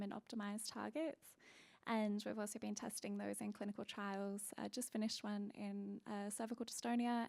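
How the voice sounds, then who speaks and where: A woman with a level, slightly gravelly voice describes her lab's work in a matter-of-fact way. She is giving a short introduction at a conference. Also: mid-range voice. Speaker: researcher.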